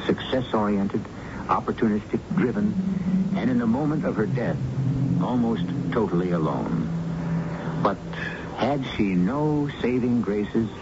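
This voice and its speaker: A man hosting with theatrical scripted radio narration, deliberate and full of rhetorical turns. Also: lowish voice, lively intonation, very noisy. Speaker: host. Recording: dull radio broadcast with loud music behind.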